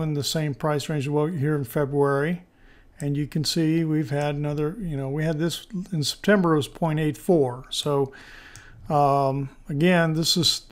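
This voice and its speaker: A man with a hoarse voice giving informal stock market commentary as host, thinking aloud in a halting, conversational way. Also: on the high side. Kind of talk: informal technical analysis commentary.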